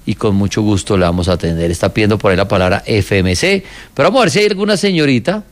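A man's voice: on the low side, lively intonation, quick pace. A man with a Colombian accent hosting a live stream, talking in a loose, joking, slangy way. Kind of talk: casual live stream chat.

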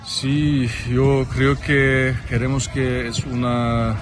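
A man who is not a native speaker answering interview questions slowly, with many pauses and fillers and broken grammar. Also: medium pitch, flat delivery, slight background noise. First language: not Spanish. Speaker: interviewed football guest. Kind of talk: halting interview answers in a second language.

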